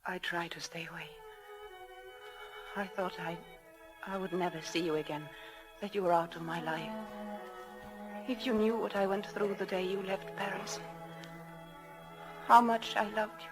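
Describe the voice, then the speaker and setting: An actress playing a lovelorn young woman in a 1940s radio romance, breathy and pleading. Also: medium pitch, steady tone, a little slow, long pauses, natural voice. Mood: anguished. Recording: vintage 1940s radio, music behind.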